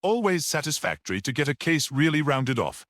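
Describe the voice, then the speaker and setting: A man's voice: a little high, big pitch swings, a little fast, a bit bright, no echo, studio quality, voice pushed up. A man voicing a grave, self-satisfied detective in an audiobook mystery, assured and matter-of-fact.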